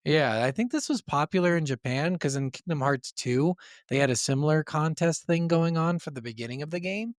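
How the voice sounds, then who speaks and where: A man's voice: on the high side, lively intonation, brisk, no echo, studio quality. Enthusiastic, sweary man chatting about games. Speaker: podcast co-host. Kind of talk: casual gaming podcast chat.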